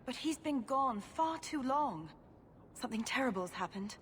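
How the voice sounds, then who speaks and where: A woman's voice: high voice, animated, moderate pace, fairly bright tone, a little hiss or hum. A woman playing an earnest, distraught noblewoman in a dramatic video game scene, pleading for help. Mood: anxious, pleading. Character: distraught noblewoman pleading for her missing brother.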